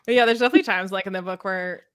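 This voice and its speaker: A woman's voice: mid-range voice, rapid-fire, fairly bright tone, clean tone, no echo, clean studio sound. A woman co-hosting a book podcast in casual, slangy chat, acting out bits of dialogue as she retells stories. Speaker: podcast co-host discussing books.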